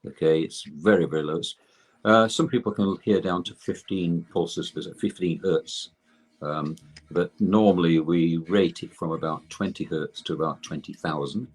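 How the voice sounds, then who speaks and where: Older guy, deep husky voice, explaining technical stuff, stops and restarts a lot. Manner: halting, self-correcting explanation. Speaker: guest expert in electrotherapy.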